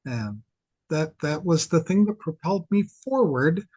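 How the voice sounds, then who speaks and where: A man's voice: a little high, animated, a little slow, darkish tone, breathy edge, no room sound. A man in his sixties with a Wisconsin accent, telling his story earnestly as a podcast guest. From Wisconsin, USA. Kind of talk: conversational interview storytelling.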